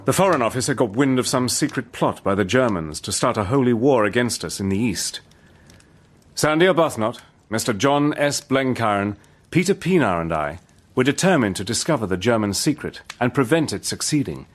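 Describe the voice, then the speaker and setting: Male. Actor as composed army major and adventurer, measured first-person radio drama narration, mid-pitched. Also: lively intonation, lightly acted. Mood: measured, grave. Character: British army major, composed wartime adventurer recounting his mission.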